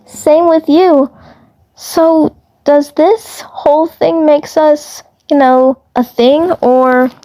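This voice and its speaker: A woman voicing a bubbly, affectionate, excitable cartoon girl in an amateur fandub, gushing and rambling in slang. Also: high-pitched, very slow, pitched above the performer's own voice. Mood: shyly hopeful.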